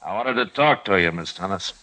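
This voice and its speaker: An actor playing a steady, laconic middle-aged American marshal in a radio western, measured, patient and firm. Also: male voice, low-pitched, slight background noise. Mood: calm, wary. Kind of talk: 1950s radio western acting with narration.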